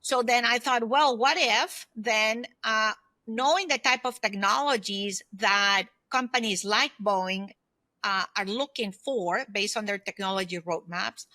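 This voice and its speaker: A woman's voice: mid-range voice, measured pace, bright, forceful tone, no room sound. A woman in her fifties explaining things earnestly as a guest in a conversational interview. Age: 50s. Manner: earnest, explanatory, often checks in with "right?". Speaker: podcast guest, consultant and former aerospace executive.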